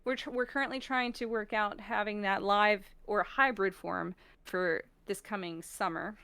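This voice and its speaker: A woman's voice: mid-range voice, steady tone, normal speed, bright, forceful tone, clean tone, no echo. An American woman chatting casually and expressively as a guest on a stream, acting out bits of what she quotes. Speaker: voice actor and performer, guest on a stream. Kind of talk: casual, animated chat.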